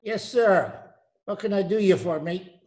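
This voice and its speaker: A man acting salty tattooist, high-pitched, evasive, nautical slang, old-time radio drama, home call recording. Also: a little slow, darkish tone. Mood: cagey, salty. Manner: salty, evasive, calls people mate.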